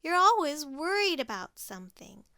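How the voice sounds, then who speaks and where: A woman voicing a cheerful, boastful, carefree pig who talks in excited exclamations. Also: high-pitched, moderate pace, dry recording, studio quality, pitched above the performer's own voice, full character voice.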